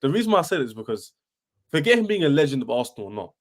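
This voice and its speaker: A man's voice: mid-range voice, big pitch swings, racing. A man hosting a football discussion, playing devil's advocate. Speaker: host. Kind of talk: heated football debate.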